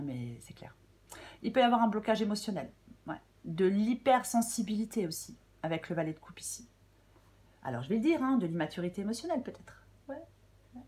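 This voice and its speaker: Slightly hoarse French woman reading tarot. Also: medium pitch, darkish tone. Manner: halting, thinking aloud with many fillers and restarts. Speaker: tarot reader. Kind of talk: casual tarot reading to viewers.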